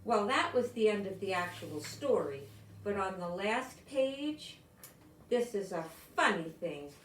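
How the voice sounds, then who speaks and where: A woman's voice: medium pitch, sing-song, measured pace, slight background noise. An older woman reads a children's story aloud, adding simple explanatory asides for young listeners. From United States. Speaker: storybook reader. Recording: roomy echo, distant microphone.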